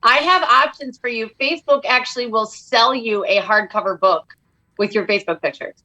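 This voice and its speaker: A woman's voice: a little high, moderate pace, poor audio. A middle-aged woman chatting casually as a comedian guest, acting out bits of dialogue as she tells stories. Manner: animated storyteller who acts out quoted dialogue. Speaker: podcast guest, comedian and author. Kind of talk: casual comic chat.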